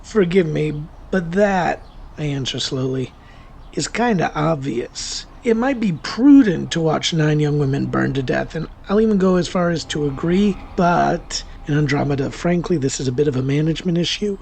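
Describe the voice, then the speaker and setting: A man playing a guilt-ridden warlock who confesses to killing, in a theatrical, sardonic first-person horror monologue with deliberate pauses. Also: a little high, expressive, gravelly, a little mellow, a little hiss or hum. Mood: dryly defiant. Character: male warlock killer, wry, self-deluding, guilt-ridden confessor. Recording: music under the voice.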